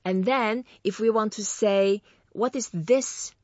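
A woman hosting a podcast, teaching Chinese phrases slowly and patiently and repeating each phrase for her guest. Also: medium pitch, a little mellow, no echo, muffled recording. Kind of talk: friendly language lesson.

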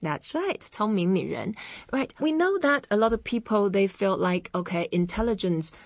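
A woman's voice: mid-range voice, expressive, no room sound, muffled recording. Woman with a chinese accent teaching words. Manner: slow, clearly separated syllables when modelling words. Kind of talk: language lesson with repeat-after-me drills.

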